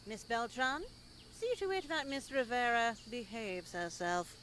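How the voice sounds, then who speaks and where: A stern nun from a visual novel game, lecturing with slow, formal piety. Her delivery is deliberate and preachy, with some background noise. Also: on the high side, sing-song, fairly bright tone. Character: stern, sanctimonious nun lecturing two young women in her care. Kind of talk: dramatic visual novel voice acting.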